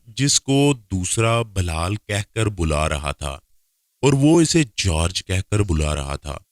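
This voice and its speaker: A man's voice: on the low side, no echo, studio quality. A man reading a scripted first-person story aloud as a narrator.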